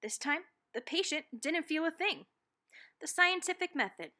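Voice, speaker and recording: A woman narrates a children's picture book in a fairly high, clearly bright voice. She reads expressively, leaning on key phrases with dramatic, deliberate emphasis. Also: very animated. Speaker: read-aloud narrator.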